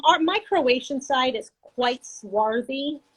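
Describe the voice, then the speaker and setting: A woman's voice: high-pitched, lively intonation, slow pace, husky. A middle-aged woman, a guest artist, chatting casually and animatedly over a video call, laughing often as she talks. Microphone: handheld mic on a video call.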